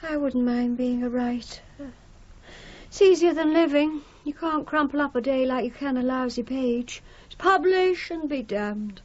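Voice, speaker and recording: An actress playing a bitter, jilted wife in a radio drama, speaking in a blunt, dry, wry way. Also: female voice, sits high, a little slow, darkish tone. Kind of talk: 1980s British radio drama acting. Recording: slightly dull archive radio recording.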